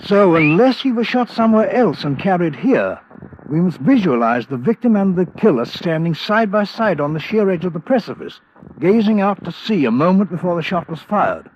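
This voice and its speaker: A polite british police inspector, highish lively voice, careful formal wording, old dull noisy radio recording. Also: male voice, normal speed. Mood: measured, guarded. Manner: formal, precise, reserved. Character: courteous, guarded British colonial police inspector. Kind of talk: old-time radio drama acting.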